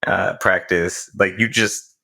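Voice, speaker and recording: A man co-hosting a podcast, talking with enthusiasm and animated energy. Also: sits low, takes it slowly, creaky. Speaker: podcast co-host. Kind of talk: casual interview conversation.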